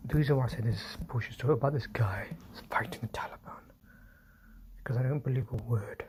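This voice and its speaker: A man hosting a solo livestream, talking low and often whispering, with restarts and apologies as he reads and comments. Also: fast, darkish tone, a little hiss or hum. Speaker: livestream host. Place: indoors at home, quiet room. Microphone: phone.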